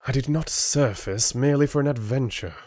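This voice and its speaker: A man voicing a hot-tempered, defiant young man in a fantasy audiobook, indignant, forceful and earnest. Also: mid-range voice, a little fast, no echo, clean studio sound, pitched above the performer's own voice, natural voice. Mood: tense, restrained anger. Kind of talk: audiobook character acting.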